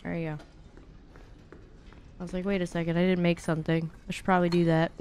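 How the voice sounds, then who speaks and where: A woman's voice: lowish voice, lively intonation, normal speed, darkish tone, slight background noise. A young woman giving casual, playful live commentary while streaming a video game, with mock exasperation. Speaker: streamer, speedrunner.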